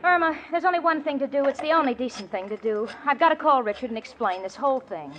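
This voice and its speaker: A woman's voice: high-pitched, animated, fast talker. A woman playing an exasperated, anxious young roommate in a 1940s radio comedy. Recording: dull vintage radio recording, noisy, studio audience.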